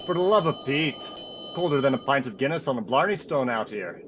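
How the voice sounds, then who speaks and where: Man playing hearty avuncular local fellow, gruffly kind, radio drama, medium pitch with big swings, noisy street ambience. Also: lively intonation, brisk, natural voice. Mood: hearty, kindly. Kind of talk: old-time radio play acting.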